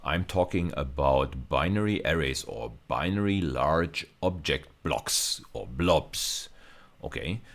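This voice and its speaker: A man lecturing at university level in an extremely low, deep voice. He explains his material step by step in a measured way, often restating a phrase before moving on.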